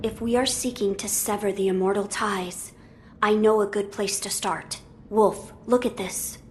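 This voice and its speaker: A woman plays a young noble boy in a game cutscene. He speaks formally and earnestly, with little rise or fall in pitch. A light rumble runs behind the voice. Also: fairly even intonation, measured pace, natural voice. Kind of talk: video game cutscene acting.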